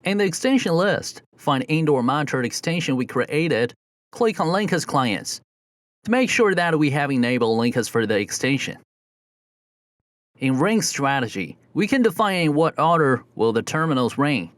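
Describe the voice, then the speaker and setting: A man reading a scripted step-by-step software tutorial in a methodical, instructional way, as a close voice-over. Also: on the high side, very animated, moderate pace, no echo. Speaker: tutorial narrator.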